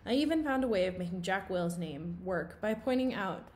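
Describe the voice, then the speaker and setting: A woman reading a children's novel aloud in an expressive storytelling style. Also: mid-range voice, normal speed.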